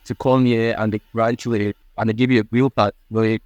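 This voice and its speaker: A man in his thirties from Cameroon, speaking with a Cameroonian accent and answering interview questions in a halting, earnest way. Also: fairly low pitch, little pitch movement, a little mellow, no room sound, lo-fi sound. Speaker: guest.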